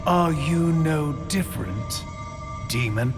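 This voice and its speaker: A man's voice: on the high side, expressive, slow pace. A man playing a haughty, zealous alien leader in a video game cutscene, speaking in a pompous, theatrical way. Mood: grandiose, condescending. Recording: loud music behind the voice.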